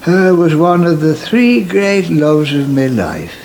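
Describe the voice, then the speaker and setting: Creaky old West Country countryman. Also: a little high, sing-song, slow pace, a little mellow, exaggerated voice. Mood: mischievous. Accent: English West Country. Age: older. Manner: rustic dialect, hesitant, sly. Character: cheeky old countryman, rustic and roguish. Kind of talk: radio soap acting. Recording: slightly noisy 1980s radio broadcast.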